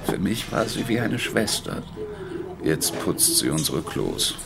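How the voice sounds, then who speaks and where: A man's voice: deep voice, big pitch swings, slow, creaky, heavily acted. An actor playing a lonely, bitter drunk man in a radio play, rambling and crude in a slurred voice. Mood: maudlin, bitter. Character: maudlin, bitter drunken male barfly, lonely and ranting. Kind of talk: German radio play acting.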